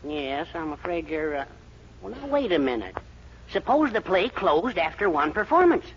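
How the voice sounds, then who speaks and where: An actor playing a fussy, henpecked middle-aged American husband and eager schemer, blustering and hesitant in 1940s radio comedy style. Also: male voice, on the high side, normal speed. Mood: eager scheming. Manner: excitable, blustering, hesitant stammers. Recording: dull, noisy 1940s radio recording.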